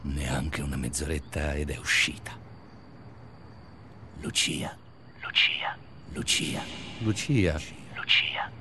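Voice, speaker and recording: An actor playing an obsessive, bitter stalker confessing in a brooding, intimate radio drama monologue. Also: male voice, rumbling low, expressive, slow pace, long pauses, pushed and bright, slight background noise, exaggerated voice. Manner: brooding, intimate narration with agitated surges. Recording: music under the voice. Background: music.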